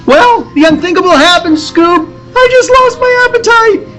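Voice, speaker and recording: A man's voice: very high-pitched, lively intonation, moderate pace, music in the background, voice pushed up, rough recording. A man voicing a goofy, whiny young hippie slacker from a cartoon, in a comic table read. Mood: dismayed.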